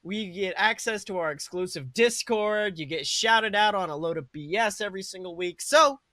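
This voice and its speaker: A man co-hosting a podcast in a casual, comedic style, animated and crude, swearing freely. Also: high-pitched, normal speed, no room sound, studio quality. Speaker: podcast co-host.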